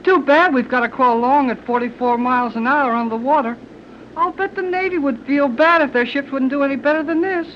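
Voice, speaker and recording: A woman's voice: high voice, fairly quick, lightly acted. A woman playing a plucky, slangy, wisecracking youngster in a 1930s radio serial. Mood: cheeky, eager. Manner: slangy, breezy, cheeky. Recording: noisy, dull 1930s radio recording.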